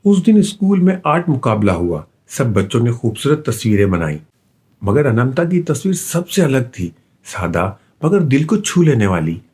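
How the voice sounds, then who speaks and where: A man narrating a scripted children's bedtime story with an expressive storytelling delivery. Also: mid-range voice, moderate pace, husky.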